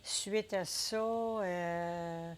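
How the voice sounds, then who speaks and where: Slow-talking older Québécois woman. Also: on the low side, fairly even intonation, a little hiss or hum. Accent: Québécois French. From Quebec. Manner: unhurried, hesitant, many fillers. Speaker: interviewee, entrepreneur. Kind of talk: casual interview.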